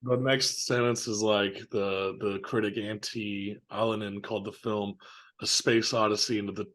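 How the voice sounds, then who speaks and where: A man co-hosting a casual film discussion, talking informally in halting phrases. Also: fairly low pitch, little pitch movement, a bit bright. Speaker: podcast co-host.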